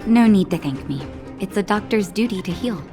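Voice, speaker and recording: An actress voicing a calm, caring woman doctor in a video game, speaking in a gentle, reassuring way. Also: medium pitch, brisk, natural voice. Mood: wry, composed. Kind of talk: scripted game dialogue. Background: music.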